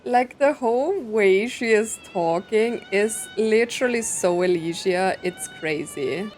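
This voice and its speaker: A woman's voice: medium pitch, a little slow, a little mellow. A young woman streaming a story game, chatting casually and saying "like" and "right" a lot. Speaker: gameplay streamer. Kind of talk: casual reaction commentary. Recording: voice over game music.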